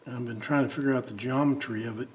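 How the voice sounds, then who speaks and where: A man talking casually and haltingly, thinking aloud as he demonstrates a drawing. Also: mid-range voice, fairly even intonation, a little mellow. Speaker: amateur artist demonstrating a drawing. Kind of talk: casual talk while drawing.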